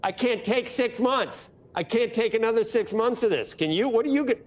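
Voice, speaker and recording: A man anchoring a business news interview, halting with fillers and exasperated asides about the government. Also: very high-pitched, lively intonation.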